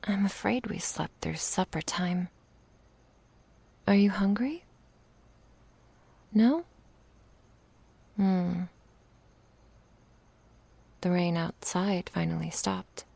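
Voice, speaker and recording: A woman playing an affectionate young witch in an intimate ASMR roleplay, speaking softly, tenderly and playfully, often in a whisper. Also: lowish voice, full character voice. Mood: sleepy, tender. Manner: soft, breathy, tender murmuring with little hums.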